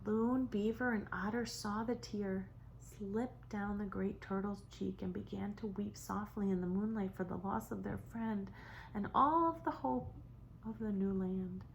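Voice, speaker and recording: A woman reads a children's story as a storyteller for young kids, in a dramatic manner with drawn-out words and hushed moments. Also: medium pitch, vocal fry, muffled recording, slight background noise. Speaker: storybook reader for preschoolers. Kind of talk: expressive children's story narration.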